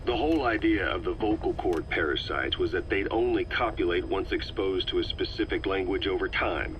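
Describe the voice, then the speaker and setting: A man's voice: sits low, brisk, lightly acted. An actor playing a male commander who asks a scientist probing questions, on a game audio tape. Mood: questioning. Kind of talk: game audio log acting. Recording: dull noisy cassette tape.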